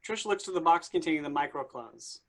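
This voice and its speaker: A man with a slightly high voice narrates a play script. He reads short stage directions for a staged reading. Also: expressive, brisk.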